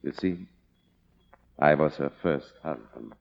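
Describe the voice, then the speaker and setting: A very deep, solemn butler. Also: male voice, slow pace, vocal fry, soft background music, exaggerated voice. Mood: proud, solemn. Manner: formal, solemn. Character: grave, devoted male butler, once a film director. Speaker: actor. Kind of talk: 1950s radio drama acting. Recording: dull vintage radio recording.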